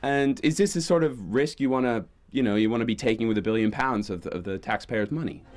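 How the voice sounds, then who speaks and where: A man's voice: mid-range voice, fast, noisy background. A man talking casually and emphatically in a news interview. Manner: emphatic, informal.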